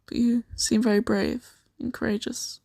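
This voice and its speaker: A woman improvising an ASMR roleplay as a kind, shy, flustered boss of a secret sanctuary, speaking softly and hesitantly with giggles. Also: on the high side, flat delivery, normal speed, gravelly, no room sound, clean studio sound. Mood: shy, flirtatious. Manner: hesitant, giggly, soft-spoken.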